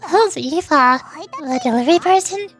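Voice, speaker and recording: A woman's voice: high voice, lively intonation, moderate pace, over music. A woman live-streaming a game as a VTuber, playfully reading dialogue and commenting in a theatrical way.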